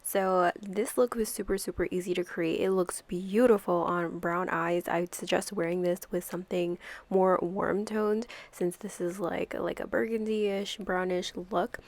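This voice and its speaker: A woman's voice: on the low side, fairly even intonation, a little slow, dry recording. A young woman with a stuffy, congested voice from a cold, chatting through a makeup tutorial voice-over and filling it with "like" and "kind of.". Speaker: makeup YouTuber.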